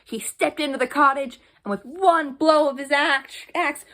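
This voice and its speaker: A woman's voice: high-pitched, unhurried, pushed and bright. A woman narrating a children's bedtime story as she reads from a storybook. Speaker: storybook reader.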